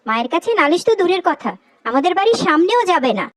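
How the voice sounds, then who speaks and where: A woman with a high voice that leaps up and down as she voices a dubbed cartoon. Her delivery is scolding and quarrelsome. The recording is close and dry, with no room sound. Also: big pitch swings, fast, no echo. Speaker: voice actor for several female cartoon characters.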